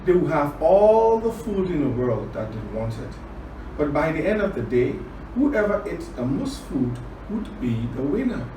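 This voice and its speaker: A man from Liberia telling a children's story and teaching words, deliberate, repeating phrases for emphasis. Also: a little high, sing-song. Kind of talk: children's storytelling and word lesson. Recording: roomy, distant, clearly noisy recording.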